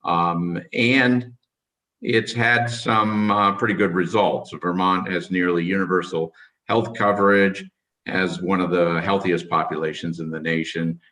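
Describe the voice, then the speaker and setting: A man giving a formal policy briefing as a government official, halting often and with a voice that is starting to give out. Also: low-pitched, steady tone, sharp tone, recorded from a distance. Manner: halting, hesitant delivery. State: losing his voice.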